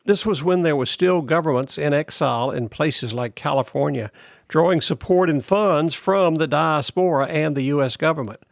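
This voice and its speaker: A man hosting a podcast, reading a news article aloud in a steady, plain delivery. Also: fairly high pitch, moderate pace, vocal fry, dry recording, studio quality. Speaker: podcast host.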